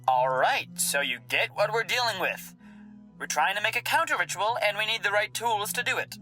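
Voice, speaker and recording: A woman's voice: mid-range voice, lively intonation, normal speed, sharp tone, soft background music, rough recording. A woman playing a defiant, sarcastic, foul-mouthed occult researcher with a blunt, exasperated manner, in audio drama style. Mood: businesslike. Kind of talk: dramatic audio roleplay acting.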